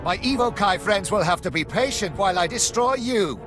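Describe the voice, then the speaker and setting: A haughty, high-pitched fanatical Imperial villain. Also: male voice, lively intonation, normal speed. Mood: gloating, fanatical. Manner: haughty, menacing, declamatory. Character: fanatical male Imperial commander, cruel, arrogant villain. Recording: music behind the voice.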